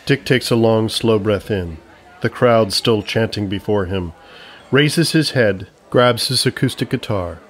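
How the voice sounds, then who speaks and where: A man's voice: fairly low pitch, unhurried. A man narrating a scripted comedy story in the present tense.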